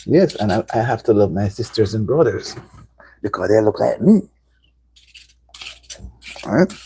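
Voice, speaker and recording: A woman's voice: a bass voice, animated, unhurried, mellow, husky, rough recording. A middle-aged woman from Jamaica with a Jamaican accent, chatting casually to viewers on a live stream and repeating herself for emphasis. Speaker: livestream host.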